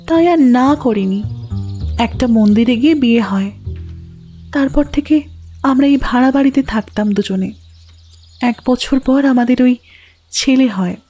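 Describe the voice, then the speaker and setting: Sad young widow, high voice, halting and resigned, radio drama. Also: female voice, mellow, husky, exaggerated voice. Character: sorrowful young widowed mother, resigned, soft-spoken. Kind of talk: audio drama acting.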